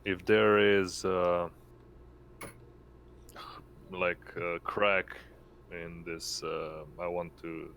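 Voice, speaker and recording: A man describes his turn in a tabletop game in a deep, fry-laden voice. He speaks slowly with little melody. Faint noise sits behind him on the online call. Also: little pitch movement, a bit bright. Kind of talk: casual chat during online gaming.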